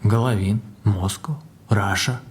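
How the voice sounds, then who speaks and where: An actor playing a proud, solemn Russian secret service agent in an audio drama, speaking gravely and boastfully in deliberate, dramatic lists. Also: male voice, fairly low pitch, steady tone, natural voice. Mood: ominous, reverent.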